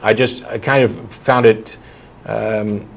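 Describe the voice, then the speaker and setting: Male call-in host, low voice, blunt and argumentative, long explanations. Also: fairly even intonation, slow pace, vocal fry. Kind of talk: call-in show chat.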